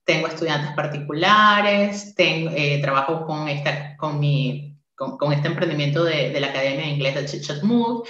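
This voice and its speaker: A woman's voice: deep voice, lively intonation, moderate pace, few pauses, fairly bright tone, roomy sound, dull audio. A woman in her forties, a university English teacher, giving a friendly interactive talk to a workshop with frequent "eh" fillers. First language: Spanish. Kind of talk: online conference talk.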